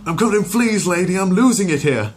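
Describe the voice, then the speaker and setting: A man's voice: high-pitched, animated, brisk, slight background noise, pitched above the performer's own voice. A man voicing an agitated, exasperated talking cartoon dog in a bedtime story. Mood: frantic, exasperated. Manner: frantic, exasperated.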